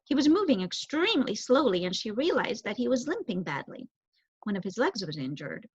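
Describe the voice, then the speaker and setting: A young woman giving an expressive reading of a children's novel as narrator. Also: medium pitch, a little fast, slight background noise. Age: young adult. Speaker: story reader, student. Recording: roomy echo, dull muffled sound.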